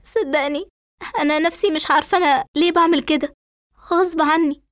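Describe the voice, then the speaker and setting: A woman's voice: sits high, steady tone, brisk, voice pushed up, lightly acted. A woman voicing a distraught, trembling young woman in an audio story, pleading and agitated in an Egyptian accent. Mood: tearful, distressed.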